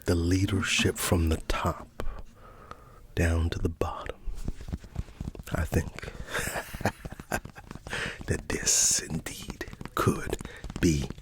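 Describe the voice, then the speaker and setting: Slow, deep-voiced man whispering ASMR. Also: level tone. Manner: folksy, halting, repetitive. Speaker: ASMR host. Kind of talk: soft-spoken whispered ASMR ramble.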